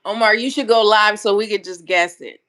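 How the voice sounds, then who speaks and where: A woman's voice: mid-range voice, steady tone, moderate pace, a bit bright. An American woman in her forties chatting casually on a livestream. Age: 40s.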